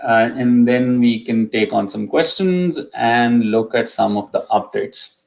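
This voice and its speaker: A man's voice: medium pitch, measured pace, vocal fry, a little mellow, poor audio. A middle-aged man whose first language is Urdu, hosting a live immigration session and talking casually with many ums and restarts, a little sick with a cough. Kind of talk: casual live-stream talk.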